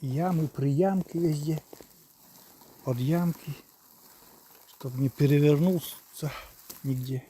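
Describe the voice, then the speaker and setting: A man streaming live outdoors from the countryside on a phone, chatting with viewers and spelling words out patiently. His voice sits a bit high yet sounds soft and mellow, and he talks slowly. Faint outdoor noise stays in the background. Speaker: live streamer from a rural village. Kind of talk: casual live stream, reading chat aloud. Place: outdoors in the countryside near a river, on the move.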